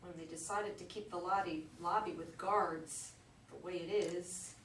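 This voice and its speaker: A woman's voice: fairly low pitch, steady tone, measured pace, fairly bright tone. Heard from far off in an echoey, noisy room, a woman reads the part of a comfortable, well-off woman resident in a stage play. She is polite yet guarded, hesitating as she defends herself. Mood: guarded, uneasy. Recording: distant echoey live recording, noisy background.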